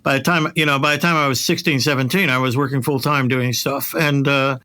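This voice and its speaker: A man's voice: mid-range voice, fairly even intonation, brisk, bright, forceful tone, dry recording, clean studio sound. A middle-aged or older man hosting a casual podcast, talking in a rambling, self-deprecating, conversational way. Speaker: podcast host and voice actor.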